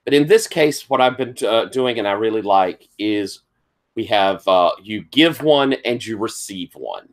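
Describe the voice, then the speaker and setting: A man with a thick Southern accent and a lowish voice runs a tabletop game. He is tired, and he explains the setup to his players in a polite, accommodating way. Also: animated, a little slow. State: tired from lack of sleep. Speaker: game master.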